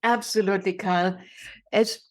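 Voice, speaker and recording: A woman's voice: mid-range voice, fairly even intonation, moderate pace. Female interview guest, 60s, German-accented English, emphatic storyteller who leans on repetition. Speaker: guest, inspirational speaker.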